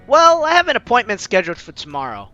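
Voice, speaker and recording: A man's voice: extremely high pitch, wildly swooping pitch, quick pace, bright, forceful tone, muffled recording, faint music behind. A man hosting a let's-play, reading a visual novel aloud and breaking off to laugh and comment.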